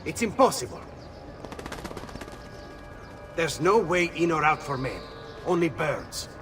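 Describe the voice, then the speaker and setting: An actor playing an earnest, idealistic Venetian thief leader with an Italian accent, speaking rhetorically in a video game. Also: male voice, on the high side, sing-song, moderate pace, background noise. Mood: frustrated. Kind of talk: dramatic video game acting.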